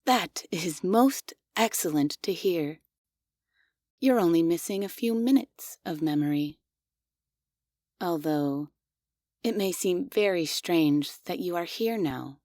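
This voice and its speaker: A woman voicing a devoted, obsessive female android maid in an audio roleplay, speaking softly and formally with quietly unsettling questions. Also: on the low side, lively intonation, slow pace, long pauses, no room sound, studio quality. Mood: gentle, doting. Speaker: voice actress.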